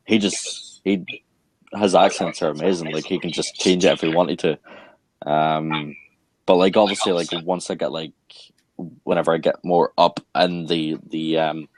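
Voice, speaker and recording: Low-voiced Scottish-Irish young man. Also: steady tone, normal speed, dry recording, rough recording. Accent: Scottish with Northern Irish mix. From Scotland. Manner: rambling, heavy on "like" and "um". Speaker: actor guest. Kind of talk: casual interview chat.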